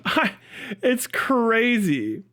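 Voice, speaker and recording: A man casually chatting and reacting on a livestream, with halting, slangy, profane speech. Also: very high voice, huge pitch swings, bright, forceful tone. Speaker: livestream host.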